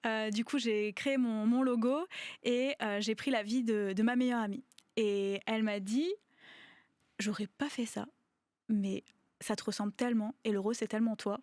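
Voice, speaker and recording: A woman's voice: fairly high pitch, fairly even intonation, a little mellow, no room sound, studio quality. Bubbly woman hosting a podcast panel. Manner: bubbly, laughs often, quick asides. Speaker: podcast host, entrepreneur. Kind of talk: casual panel discussion.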